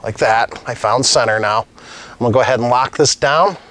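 A man's voice: medium pitch, fairly quick, a bit bright. A middle-aged master plumber giving a casual, practical tutorial on a lapel mic while he works with tools at a desk. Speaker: master plumber teaching on his channel. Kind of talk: hands-on tutorial demonstration. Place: indoor studio set at a desk.

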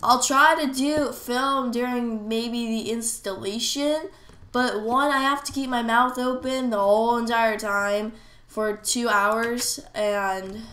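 A woman's voice: a little high, steady tone, slow pace, fairly bright tone. A teenage girl chatting casually while playing a game, rambling with lots of fillers. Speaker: gameplay commentator. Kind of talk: casual gameplay chat. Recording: echoey room, faint hiss. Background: keyboard clicks and faint noise.

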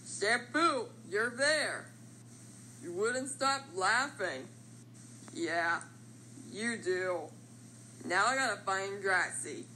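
A woman voicing a confident, playful living triangle from a cartoon, talking through things in a deliberate way. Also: mid-range voice, animated, pushed and bright, slight background noise, heavily acted, rough recording. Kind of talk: animated character voice lines.

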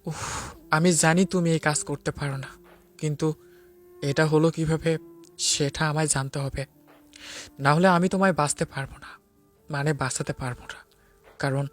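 A man's voice: a little high, fairly even intonation, moderate pace, pauses often, faint music behind, lightly acted. A man playing a stern but protective police officer in a melodramatic audio story. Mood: reassuring. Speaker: narrator and lead actor.

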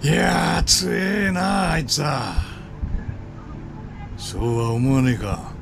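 Samurai chief: middle-aged, gravelly, unhurried, easygoing yet shrewd, for a game cutscene. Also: male voice, on the high side, expressive, music in the background, full character voice. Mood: musing, admiring. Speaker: game voice actor. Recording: ambient noise behind the voice.

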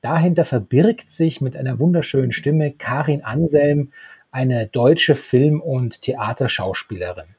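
A man in his thirties co-hosting a podcast, discussing a radio drama in a conversational review style, with a husky voice. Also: mid-range voice, measured pace, darkish tone, muffled recording. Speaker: podcast co-host. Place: home room with bookshelves. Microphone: desktop microphone, close.

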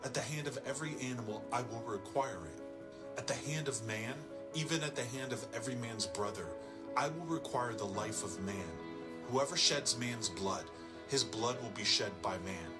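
Male actor as solemn, commanding male deity, Bible audio drama, declamatory, mid-pitched, heavy music underneath. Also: moderate pace, natural voice.

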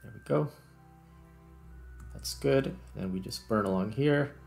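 A man with a fairly low voice talks through a game he is playing. His delivery is casual, as he thinks each step aloud. Faint music plays behind him. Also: unhurried, creaky, darkish tone. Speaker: gaming video host. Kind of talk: casual gameplay commentary.

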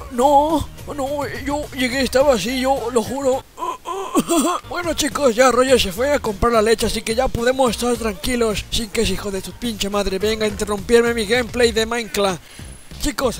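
A man puts on a cranky old grandpa with a very high, strained voice, cursing and grumbling through a comedy gameplay video. He yells at the game and complains about how things were in his day. Faint game music sits behind him. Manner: grumbling, swearing, yelling at the game. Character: foul-mouthed cranky old grandfather gamer.

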